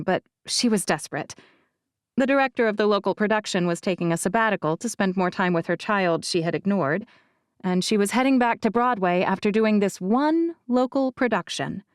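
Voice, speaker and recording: A woman voicing a guarded, self-effacing young actress in an audiobook, telling her story in a measured, confiding way. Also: mid-range voice, dry recording, studio quality, natural voice. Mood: reflective. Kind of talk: audiobook character dialogue.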